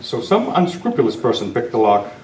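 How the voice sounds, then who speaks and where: A man's voice: mid-range voice, very animated, fairly quick, close to an everyday voice. A man playing an upright, earnest masked lawman in an old-time radio western, with a formal heroic manner. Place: club meeting room, live presentation. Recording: echoey, noisy room, distant mic.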